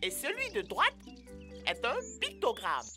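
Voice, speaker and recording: A woman voicing a brisk, bossy cartoon teacher in a dubbed educational show, didactic and easily exasperated. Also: fairly high pitch, huge pitch swings, sharp tone, faint music behind, full character voice. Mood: impatient, explaining.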